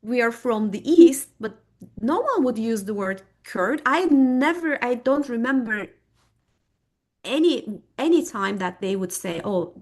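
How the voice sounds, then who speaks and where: A woman's voice: fairly high pitch, expressive, a little slow, a little mellow. A middle-aged woman from Turkey, a native Turkish speaker, speaking as a guest historian in a podcast interview, thoughtful and searching for words with frequent fillers.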